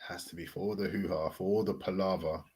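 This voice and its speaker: A young man on a video call, teasing and slangy, talking football in an animated way. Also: deep voice, fast talker. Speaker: football podcast panelist. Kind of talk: teasing casual banter.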